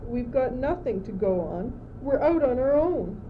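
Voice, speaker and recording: A woman's voice: high-pitched, expressive, unhurried. A teenage girl playing a naive, anxious courtier who follows along in an amateur radio play, hesitant and plaintive. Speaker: student actor. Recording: dull, noisy old amateur recording.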